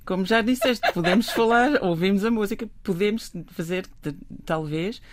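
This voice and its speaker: A woman with a British accent, whose first language is English, chats casually and haltingly, stopping to correct herself. The sound is dry and close, and her pitch is middling. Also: dry recording. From Britain. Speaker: artist and illustrator, guest. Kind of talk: casual radio chat.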